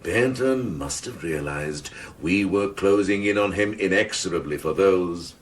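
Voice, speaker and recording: A man playing a smug senior police officer in a radio crime drama, gravelly, florid and sardonic. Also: low-pitched, unhurried, distant mic. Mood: smug, grandiose.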